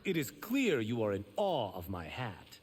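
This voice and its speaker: A man voicing a brash, sociable young fighter in a video game, with an animated, cocky delivery. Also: medium pitch, unhurried. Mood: cocky, friendly. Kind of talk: video game character acting. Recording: long hall reverb, faint noise.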